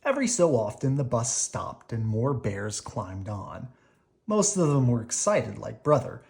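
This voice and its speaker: Woman, very low voice, lively swooping intonation, children's storybook read-aloud, unhurried. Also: gravelly.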